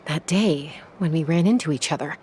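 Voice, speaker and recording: A woman's voice: low voice, darkish tone, a little hiss or hum, close to an everyday voice. An actress playing a guarded, awkward young woman in an anime video game, speaking hesitantly. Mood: hesitant, apologetic. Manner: hesitant, halting. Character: guarded, awkward young woman, proud but sensitive peacemaker. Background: background music.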